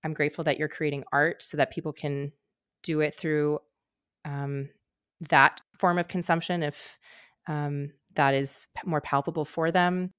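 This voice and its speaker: Smooth-voiced woman hosting, slightly low. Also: little pitch movement, a little slow, no echo, studio quality. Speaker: podcast host. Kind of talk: conversational podcast interview.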